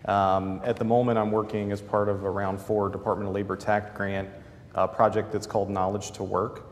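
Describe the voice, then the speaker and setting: Man, 30s, boomy voice, conference panelist, lapel mic, faint room noise. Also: level tone, normal speed, big-room sound. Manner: gestures broadly while explaining, many fillers. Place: large conference hall.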